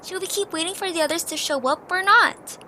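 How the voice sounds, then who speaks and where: A small, impulsive young female creature acted out by a woman in an audio drama. The voice is extremely high and sharp, insistent and curious, with some noise behind it. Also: fairly quick. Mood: impatient. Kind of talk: amateur audio drama acting. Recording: noticeable background noise.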